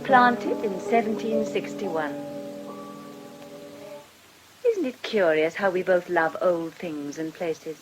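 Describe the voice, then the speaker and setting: An actress playing a genteel, gently teasing lady in a radio drama, refined and playful. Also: on the low side, expressive, unhurried, soft background music, poor audio. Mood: teasing, fond.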